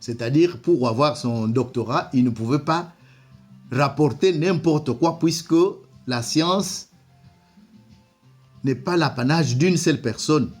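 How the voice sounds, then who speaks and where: A man's voice: mid-range voice, takes it slowly, slightly rough, soft background music. A man, not a native French speaker, giving commentary and reading from an old document, hesitant with many repeated words. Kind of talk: slow spoken commentary reading from a book.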